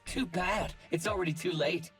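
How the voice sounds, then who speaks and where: An actor voicing a vengeful male AI villain who has seized a virtual system, speaking in a slow, menacing, taunting way in a game cutscene. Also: sits high, creaky, fairly bright tone, soft background music. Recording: game soundtrack faintly behind.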